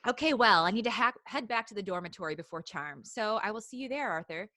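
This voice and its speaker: A woman reads out a teenage schoolgirl who fusses over her friend and teases him. Her tone is bright, and the sound is dry with no room echo. The girl's talk is fond and lightly mocking. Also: mid-range voice, animated, fast talker. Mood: mock-exasperated. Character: teenage schoolgirl, caring and teasing.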